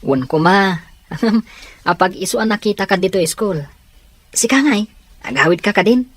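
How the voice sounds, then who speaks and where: A woman's voice: mid-range voice, lively intonation, moderate pace, no room sound, natural voice. A woman voicing a friendly young college classmate who chats about classes and snacks.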